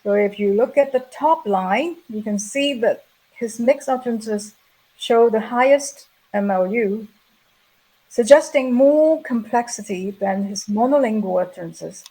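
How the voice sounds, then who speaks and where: A middle-aged woman giving a measured, explanatory plenary lecture at an academic conference. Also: fairly high pitch, mellow, husky, poor audio. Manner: measured, explanatory, reading prepared remarks. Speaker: plenary speaker.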